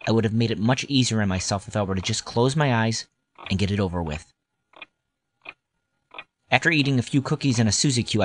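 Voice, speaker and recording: A man's voice: lowish voice, a little hiss or hum, natural voice. A man playing a grown-up wryly recalling his boyhood in scripted first-person audio drama narration. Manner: wry, nostalgic storytelling.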